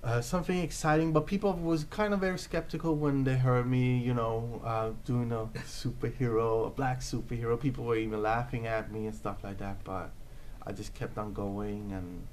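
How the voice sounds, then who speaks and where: A man's voice: medium pitch, fairly even intonation, normal speed, hardly any pauses, a little mellow, a little hiss or hum. A man in his thirties from Norway, answering interview questions in an earnest, hesitant way with many fillers and restarts. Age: 30s. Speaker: interview guest, comic book creator.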